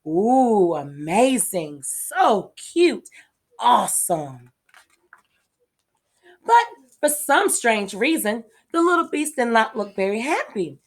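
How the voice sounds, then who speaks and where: An earnest, affectionate little girl from a storybook, as read by a woman. She speaks expressively and wide-eyed, with lively swings of pitch and an unhurried pace. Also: husky, full character voice. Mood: gushing. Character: earnest, affectionate little girl telling of her new pet. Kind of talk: children's storybook read-aloud.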